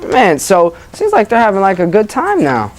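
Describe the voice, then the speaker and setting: Casting: classroom teacher, mid-pitched voice, storybook read-aloud and prompting questions for young pupils. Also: wildly swooping pitch, normal speed, a little hiss or hum. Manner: prompting children with questions, acknowledging their answers.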